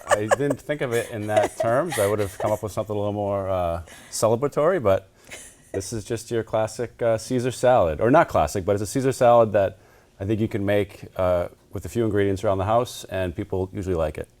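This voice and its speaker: Low-voiced easygoing man cooking on air. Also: a little fast, a little mellow. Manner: easygoing, lots of "uh" and restarts. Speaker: town manager, guest cook. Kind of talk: casual cooking demonstration.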